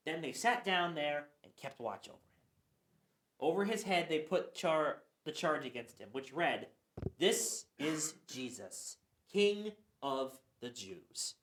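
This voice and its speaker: A man's voice: on the high side, fairly bright tone. A man narrating scripture for a church radio play, reading earnestly and sometimes stumbling. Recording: echoey room.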